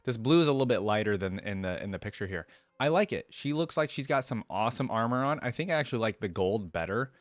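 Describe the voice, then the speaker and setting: A man's voice: mid-range voice, lively intonation, fast, no echo. A man hosting a reaction video, commenting casually and informally as he muses and thinks aloud.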